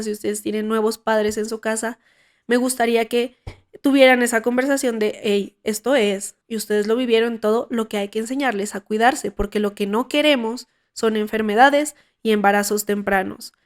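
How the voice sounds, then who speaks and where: A young woman with a Colombian accent hosting her podcast, hoarse from a cold and talking frankly with frequent restarts. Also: on the high side. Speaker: podcast host. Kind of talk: casual podcast monologue.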